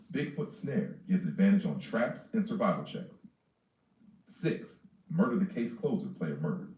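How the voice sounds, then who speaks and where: A man reading a character and campaign sheet aloud in a flat, list-like way. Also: fairly low pitch. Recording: distant, echoey, faint noise.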